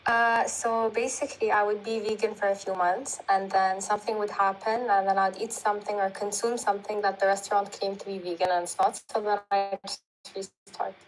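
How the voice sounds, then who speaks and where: A woman with a mid-pitched voice speaks casually as a caller on a live stream. There is faint noise on her connection. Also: flat delivery, brisk, rough recording. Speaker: livestream caller.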